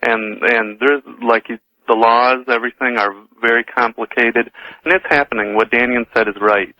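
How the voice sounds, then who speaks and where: A man calling in to a radio show, giving his opinion informally and a bit haltingly. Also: mid-range voice, steady tone. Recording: muffled lo-fi radio call.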